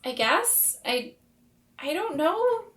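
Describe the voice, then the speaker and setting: A woman playing a nervous, shaken young girl in a tabletop game, speaking in a halting, uncertain way. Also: very high voice, big pitch swings, a bit bright, pitched above the performer's own voice, heavily acted. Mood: unsure, scared. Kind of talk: tabletop roleplay.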